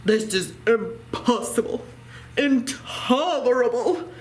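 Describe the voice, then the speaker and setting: A woman's voice: fairly high pitch, lively intonation, slow, a little hiss or hum. A woman voicing a grumpy, self-pitying male bear who is sick, complaining theatrically in a children's storybook reading. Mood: indignant.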